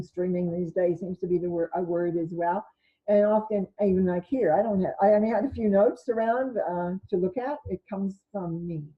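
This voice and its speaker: A woman with a naturally loud voice speaks to a group over a video call, her sound a little dull and lo-fi. She talks informally and rambles, stopping to correct herself mid-phrase. Her pitch sits in an ordinary middle range. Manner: rambling, self-correcting delivery. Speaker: spiritual teacher hosting an online session. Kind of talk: informal talk to an online group.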